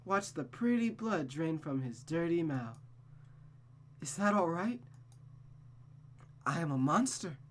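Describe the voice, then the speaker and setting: A woman's voice: lowish voice, animated, slow, long pauses. A woman voicing a childlike, deranged young woman from a visual novel, devoted and murderous, with a pleading, menacing sing-song delivery. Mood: sweetly unhinged. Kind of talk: visual novel character voice acting.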